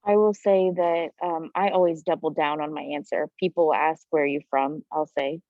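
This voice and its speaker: Casting: female workshop participant, low register, casual anecdotal delivery, dull close-sounding call audio. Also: steady tone, moderate pace, no echo. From Washington DC, United States. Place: virtual workshop video call.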